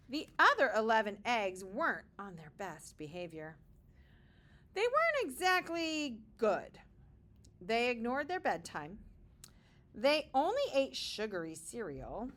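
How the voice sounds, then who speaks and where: Slow, higher-pitched woman reading to young children. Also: wildly swooping pitch, a bit bright. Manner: slow, deliberate, dramatic emphasis with short explanatory asides. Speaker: kindergarten teacher reading aloud. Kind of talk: expressive children's picture-book read-aloud.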